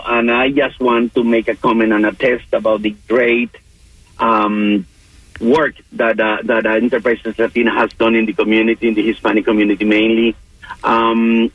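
Halting male caller on a dull line. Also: mid-range voice, dry recording. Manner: halting, many restarts and repeated words. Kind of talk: call-in comment. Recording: dull, husky phone line.

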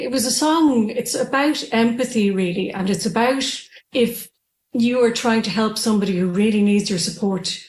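A woman in her forties with an Irish accent, a musician talking over a video call in a relaxed way, with light laughs and many fillers. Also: medium pitch, roomy sound. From Ireland. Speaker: musician guest. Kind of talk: remote interview.

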